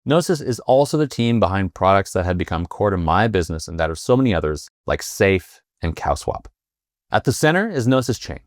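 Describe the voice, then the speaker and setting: A man hosting a podcast interview, asking questions in a halting way with many fillers and restarts. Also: sits low, very animated, dry recording, studio quality. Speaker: podcast host. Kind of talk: casual interview with read ad intro.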